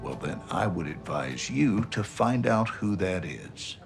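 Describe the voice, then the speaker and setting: An actor playing a gruff middle-aged army general who gives blunt, profane, clipped orders. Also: male voice, low-pitched, very animated, unhurried, music in the background, exaggerated voice. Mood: angry.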